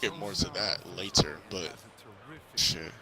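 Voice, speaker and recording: A man's voice: low-pitched, fairly even intonation, takes it slowly, vocal fry, bright, forceful tone, rough recording. A young man chatting casually about a movie, stumbling and rambling through his stories in slangy speech. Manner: rambling, stammering storytelling with slang. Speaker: co-host of a movie review chat. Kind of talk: casual spoiler-filled movie chat.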